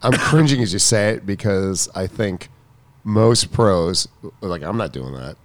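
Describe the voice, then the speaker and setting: A man's voice: low voice, lively intonation, unhurried, no room sound. A man who coaches tennis, co-hosting a casual chat, earnest and often restarting his sentences. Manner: earnest, interrupts himself and restarts.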